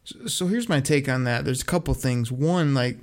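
A man co-hosting a casual sports talk show, giving relaxed, opinionated takes full of fillers. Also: medium pitch, normal speed. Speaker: podcast co-host. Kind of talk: casual sports analysis chat.

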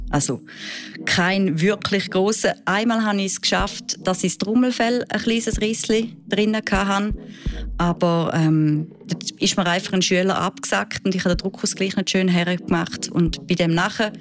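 A woman with a Swiss German accent, a diving instructor who answers interview questions in a lively, chatty way with frequent fillers. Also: medium pitch, moderate pace, soft background music. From Switzerland.

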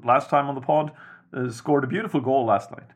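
A man hosting a casual podcast chat about hockey, talking things through at an even, measured pace. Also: medium pitch, breathy edge. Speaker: podcast host.

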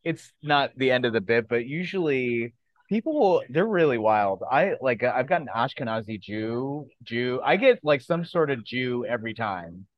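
A man's voice: medium pitch, moderate pace, no room sound, lo-fi sound. Jokey male podcast host, dry sound. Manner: relaxed, jokey, frequent "right" responses. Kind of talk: casual chat.

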